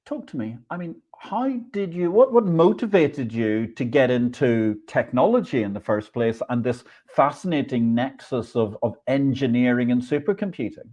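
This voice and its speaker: A man's voice: medium pitch, lively intonation, normal speed, few pauses, warm tone, lo-fi sound. A man hosting an interview show, reading an introduction and asking questions. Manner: enthusiastic, effusive praise. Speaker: podcast host. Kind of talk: interview host.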